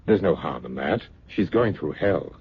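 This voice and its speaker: An actor playing a patient, protective and quietly haunted husband in an old-time radio drama, earnest and soothing. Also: male voice, sits low, expressive, moderate pace, breathy edge, full character voice. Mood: calm, protective. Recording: dull old radio recording, faint noise.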